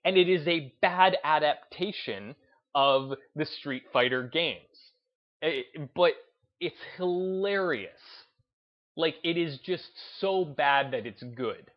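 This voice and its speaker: A man hosting a gaming video essay, speaking in an emphatic, deliberate, unscripted way and repeating phrases to drive his points home. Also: fairly high pitch, lo-fi sound. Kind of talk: opinionated commentary, unscripted talk to listeners.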